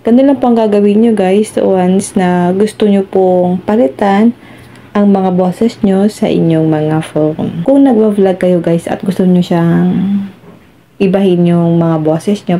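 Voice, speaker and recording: Low, mellow Filipino woman giving instructions. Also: a little slow, gravelly, a little hiss or hum. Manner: instructional, addresses viewers politely. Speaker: tutorial host. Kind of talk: step-by-step phone app tutorial voice-over.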